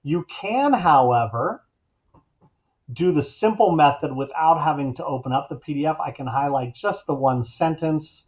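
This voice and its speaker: A middle-aged man giving a casual, instructional software tutorial as an educator at his computer. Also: fairly high pitch, lively intonation, normal speed. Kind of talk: casual instructional screencast narration. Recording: dull, lo-fi computer mic sound.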